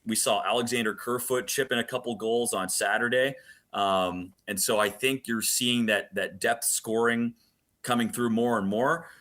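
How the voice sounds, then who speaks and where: A man's voice: mid-range voice, steady tone, normal speed, fairly bright tone. A man speaking as a play-by-play announcer giving detailed, emphatic analysis in a sports radio interview.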